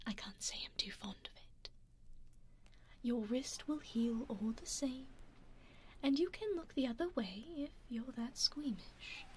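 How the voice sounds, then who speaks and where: A woman's voice: on the high side, a little mellow, slight background noise. A woman whispering as a genteel British vampire lady. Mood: smug. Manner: whispered, coaxing, politely menacing. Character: genteel female vampire lady, coaxing and smug. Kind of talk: ASMR roleplay.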